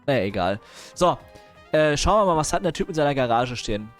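A man's voice: medium pitch, animated, quick pace, faint music behind. A man streaming casual reaction commentary on videos, impatient and sarcastic, with chuckling asides.

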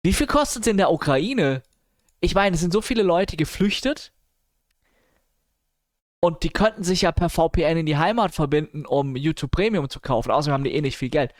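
Higher-voiced man, slangy streamer chat. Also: fairly quick, dry recording, clean studio sound. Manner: slangy, mixes English gamer slang into talk, grumbling asides. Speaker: live streamer. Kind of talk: casual livestream chat, reacting to news and viewers.

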